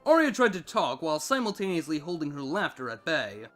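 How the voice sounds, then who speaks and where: Male narrator, high voice, very varied intonation, expressive scripted storytelling, music underneath. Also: a little fast. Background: background music.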